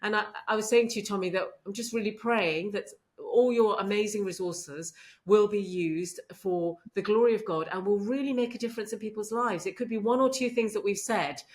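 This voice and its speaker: A woman's voice: mid-range voice, steady tone, brisk. A middle-aged woman speaking earnestly as a guest in a podcast interview, with frequent affirmations. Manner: earnest, warm faith talk with frequent affirmations. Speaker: podcast guest, medical leader. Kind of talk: conversational interview.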